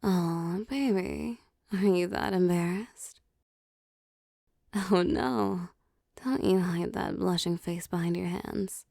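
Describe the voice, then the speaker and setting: A woman playing a possessive, doting, wealthy vampire boss in intimate roleplay audio, soft and teasing, often slipping into whispers. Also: on the low side, gravelly, no room sound, clean studio sound, heavily acted. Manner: slow, teasing, pampering, softly commanding.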